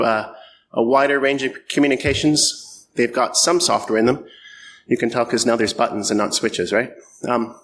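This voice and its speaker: Middle-aged Canadian man, mid-pitch, presenting at a conference with a faint hiss behind him, loose and self-mocking, filler-heavy. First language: French or English, bilingual. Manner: self-deprecating, joking, many ums and uhs. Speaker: conference speaker. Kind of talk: conference talk. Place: conference hall.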